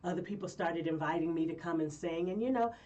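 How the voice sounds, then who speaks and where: A woman from Colorado, a guest singer telling stories in a rambling, lively way with frequent laughs. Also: fairly low pitch, fast, far from the mic. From Colorado, USA. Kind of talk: casual interview chat.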